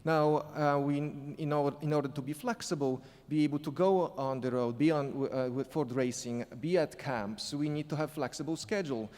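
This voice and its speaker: A man speaking English with a non-native accent, telling a story on a conference panel, halting and restarting often. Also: on the high side, a little mellow. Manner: halting, many restarts and fillers. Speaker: sport psychology consultant on a panel. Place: conference hall. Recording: echoey hall reverb.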